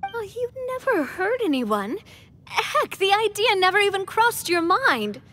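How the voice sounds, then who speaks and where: High, lively spirited young woman. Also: unhurried, exaggerated voice. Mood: reassuring. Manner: expressive, frank, sympathetic. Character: spirited, kind-hearted young woman adventurer. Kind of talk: animated video game dialogue.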